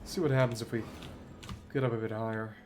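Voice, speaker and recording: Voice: mid-range voice, steady tone, fast talker, darkish tone. A video game streamer of unclear sex commentating live on play, muttering asides and reading game text aloud. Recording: clear background noise, clicks.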